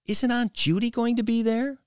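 A man voicing an excitable, defensive young woman with an eager, hesitant manner in an audiobook story. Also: very high voice, moderate pace, smooth, no echo, studio quality, pitched above the performer's own voice. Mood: bright.

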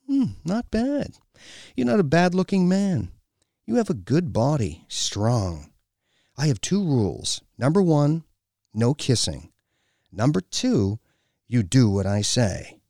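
A man's voice: mid-range voice, very animated, slow, vocal fry, mellow, no echo, studio quality, voice pushed up, heavily acted. A man voicing a stern, domineering foreign woman in an audiobook, with a commanding, clipped and scornful delivery. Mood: appraising, commanding. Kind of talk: audiobook character dialogue.

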